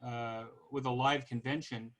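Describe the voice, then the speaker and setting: A man over fifty with a North American accent, giving an informal explanatory presentation in a halting way, pausing to search for words. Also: medium pitch, fairly even intonation, fairly bright tone. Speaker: podcast host giving a club presentation.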